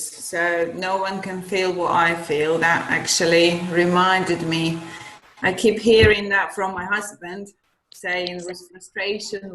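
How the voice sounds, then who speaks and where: A woman's voice: medium pitch, fairly bright tone. A married woman sharing on a group video call, speaking hesitantly and reflectively. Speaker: course participant. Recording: echoey room with faint background noise.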